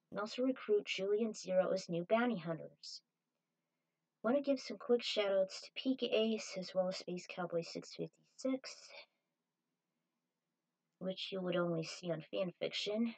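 A woman's voice: medium pitch, darkish tone, rough recording. Woman reading her own story aloud. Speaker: fan fiction author reading own story. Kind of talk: amateur story narration.

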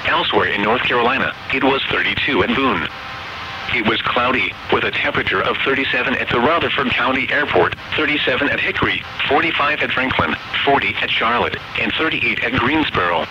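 Over a dull, clearly noisy radio broadcast comes a synthetic American man's voice. He reads weather bulletins as an automated announcer. His delivery is formal, measured and impersonal, at a medium pitch. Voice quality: synthetic text-to-speech voice.

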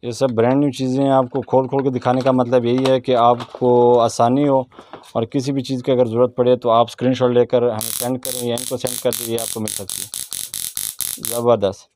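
A man's voice: medium pitch, little pitch movement, brisk, mellow, a little hiss or hum. A man with a Pakistani accent casually presenting products in a shop as a vlogger, asking questions, repeating answers and explaining features approvingly. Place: small shop packed with goods, indoors.

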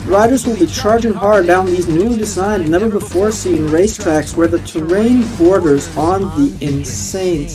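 Young man, upbeat mock DJ read on a headset, slightly high voice, faint music behind. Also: normal speed, few pauses, rough recording. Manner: upbeat announcer delivery, playful. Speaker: streamer. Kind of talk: mock radio DJ announcing. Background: faint game music.

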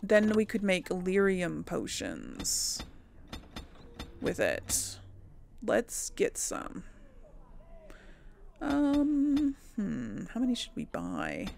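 A woman's voice: mid-range voice, wildly swooping pitch, very slow, gravelly, pauses often, a little mellow, slight background noise. A woman hosting a game playthrough, chatting casually to viewers and musing aloud to herself. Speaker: host of a gaming playthrough series. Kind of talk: casual let's play commentary, chatting to viewers.

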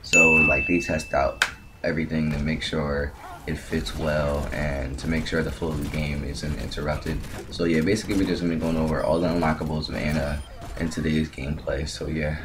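Very deep-voiced man, casual gaming commentary, noisy. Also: level tone, normal speed. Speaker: gaming video host. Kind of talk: casual video game commentary. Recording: clearly noisy background.

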